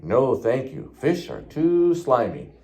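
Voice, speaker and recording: A man voicing a serious, sophisticated male storybook duck who is fussy and refuses things in a refined, drawn-out way. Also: mid-range voice, huge pitch swings, darkish tone, full character voice. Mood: polite refusal.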